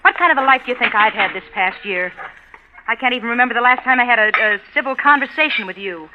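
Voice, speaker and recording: A woman's voice: fairly high pitch, lively intonation, quick pace, natural voice. A woman playing a bitter, fed-up wife with sarcastic, clipped retorts in a 1940s radio drama. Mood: bitter, weary. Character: bitter, fed-up wife sniping at her husband. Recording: muffled, hissy old radio broadcast.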